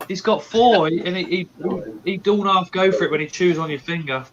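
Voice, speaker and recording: A man's voice: sits high, normal speed. A man hosting a casual live stream, talking with enthusiasm. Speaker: host. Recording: dull lo-fi, noisy.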